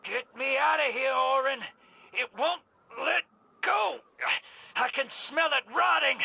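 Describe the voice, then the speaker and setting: An actor playing a brash, sarcastic rogue in a video game, irreverent and quick to irritation. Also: male voice, very high-pitched, creaky, pushed and bright, heavily acted. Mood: panicked, angry. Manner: wisecracking, irreverent. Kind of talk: video game cutscene voice acting.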